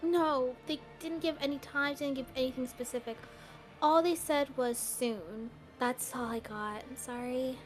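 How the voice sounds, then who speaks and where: Woman doing a high voiced anxious little sister in a game roleplay, stammery and flustered, music behind. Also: fairly even intonation, measured pace. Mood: worried, subdued. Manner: flustered, stammering, repeats words. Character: excitable, anxious young human girl, devoted little sister. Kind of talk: amateur Minecraft roleplay voice acting.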